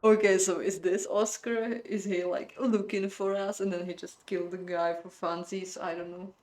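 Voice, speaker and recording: A woman's voice: mid-range voice, moderate pace. Woman narrating a story in a roomy space. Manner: expressive, breaks off to comment aloud. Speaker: host reading a visual novel playthrough. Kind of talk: dramatic story narration with playful reactions.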